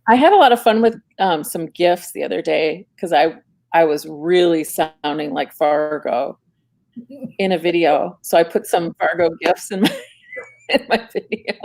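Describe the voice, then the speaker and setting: Minnesota gal on a livestream, gravelly fry in her voice, easygoing. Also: on the low side, unhurried, darkish tone. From Minnesota, Twin Cities. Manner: brief, easygoing replies with laughs. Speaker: guest YouTuber. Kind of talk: casual live chat.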